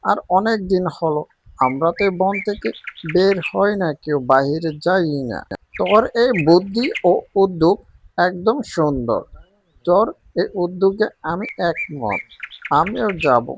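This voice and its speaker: A man's voice: sits high, animated, unhurried, background noise. A man voicing an agreeable male pigeon in a cartoon, an approving, familiar elder-brother type.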